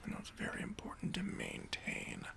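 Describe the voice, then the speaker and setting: A man playing a self-important, demanding boss at a job interview in a whispered ASMR roleplay, talking in a condescending, halting way. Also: close to an everyday voice. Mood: smug, appraising. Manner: pompous, condescending, halting with drawn-out pauses.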